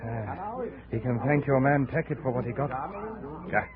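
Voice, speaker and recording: A man acting a seasoned, hard jungle guide with a gruff, domineering manner in a 1950s radio drama. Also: medium pitch, very animated, normal speed, a loud crowd, lightly acted. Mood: grim, knowing. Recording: scratchy, narrow-band 1950s radio recording.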